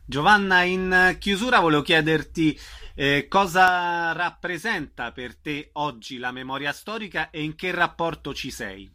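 A man's voice: a little high, a little slow, hardly any pauses, bright, forceful tone. A man hosting an interview, putting questions to his guest and giving announcements. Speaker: radio interviewer, host.

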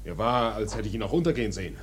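An actor playing a deferential ship's officer in a radio drama, speaking in a subdued, husky, hesitant way. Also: male voice, lowish voice, animated, very fast. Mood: subdued, grave.

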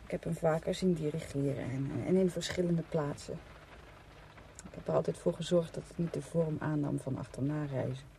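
Radio play role: an overwrought, defiant woman hiding her love, soft dark timbre, slightly noisy recording. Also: low-pitched, fairly even intonation, moderate pace, vocal fry. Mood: subdued, confessing. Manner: emotional, defiant, confessional.

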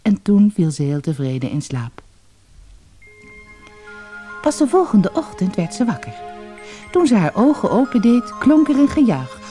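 A woman narrates a fairy tale as an expressive storyteller. Her medium-pitched voice moves in very lively swings, with music behind it. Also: unhurried, long pauses.

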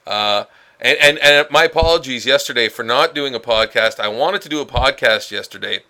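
A man's voice: medium pitch, normal speed, sharp tone. A man hosting a casual solo podcast, rambling with many fillers and restarts, a little stuffed up from a head cold. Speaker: podcast host.

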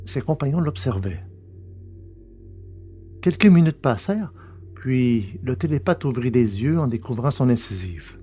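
A man reads a science-fiction adventure as an audiobook narrator. His voice is mellow and medium in pitch, with soft music behind it. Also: breathy edge.

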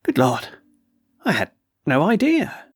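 A man reading aloud and voicing an excitable, blustering military colonel with emphatic outbursts. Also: on the high side, slow pace, breathy edge, no echo, clean studio sound, heavily acted. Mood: agitated. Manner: agitated, emphatic, snorting outbursts. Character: blustering excitable middle-aged male colonel.

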